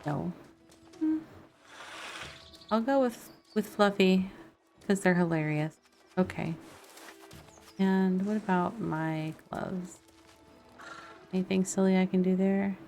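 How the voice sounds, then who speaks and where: A woman's voice: mid-range voice, slow, gravelly, pauses often, darkish tone. A woman live streaming a game, chatting casually and thinking aloud as she plays. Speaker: live streamer, gaming content creator. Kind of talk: casual livestream gameplay chat. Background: music playing faintly behind the voice.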